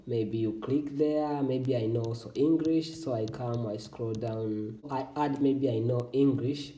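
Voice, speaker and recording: A man from Tanzania whose first language is Swahili, hosting a walkthrough of a scholarship application form in halting, self-correcting English. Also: medium pitch, dark tone, reverberant, far from the mic. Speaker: scholarship tutorial host. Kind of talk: screen-recording walkthrough.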